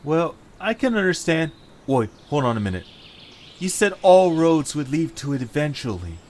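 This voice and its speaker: A man playing an eager, hopeful young adventurer in an amateur audio drama, with excitable, expressive delivery. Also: fairly high pitch, moderate pace. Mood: curious. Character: eager, hopeful young male adventurer leading his friends.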